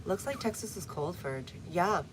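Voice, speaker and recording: A woman's voice: lowish voice, expressive, moderate pace, background noise. A woman with a stuffy nose chatting casually on a live stream from her garden, reading viewer comments aloud between fillers. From United States. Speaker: gardening livestreamer. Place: outdoor front garden.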